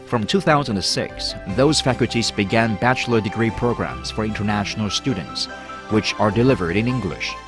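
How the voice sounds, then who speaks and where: A man reading a formal, measured promotional narration as a voice-over. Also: on the low side, animated. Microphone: studio voice-over. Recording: voice-over over loud music. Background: music.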